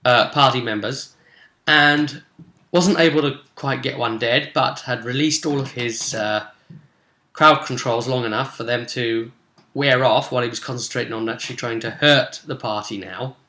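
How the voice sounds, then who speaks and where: A man's voice: mid-range voice, moderate pace, sharp tone. A man talking casually as a game master recapping a tabletop role-play session, rambling and explanatory in a conversational way. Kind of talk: casual spoken recap and commentary. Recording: roomy, echoey sound.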